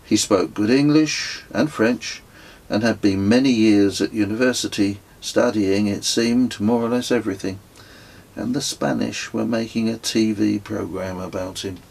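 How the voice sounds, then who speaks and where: A husky, low-voiced man narrates his own travel memoir. He reads from a script in a measured, reflective way, with wry touches of storytelling. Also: darkish tone, rough recording.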